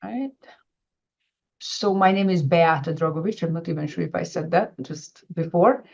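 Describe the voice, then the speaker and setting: A middle-aged woman with a Hungarian accent, presenting an informal webinar as a financial advisor, halting and rephrasing as she explains. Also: on the low side, vocal fry, a little mellow. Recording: dull, lo-fi webinar audio.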